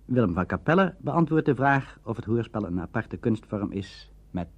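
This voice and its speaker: Animated male radio documentary narrator. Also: medium pitch, normal speed, breathy edge. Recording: dull archival radio recording.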